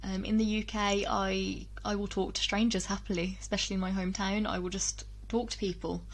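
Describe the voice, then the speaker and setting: A young woman talks casually to her camera, pausing on ums and doubling back on her words. Her voice sits at a middling pitch, and her talk is relaxed and personal. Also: rough recording. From England, UK. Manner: chatty, frequent ums and restarts, laughs between thoughts. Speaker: vlogger.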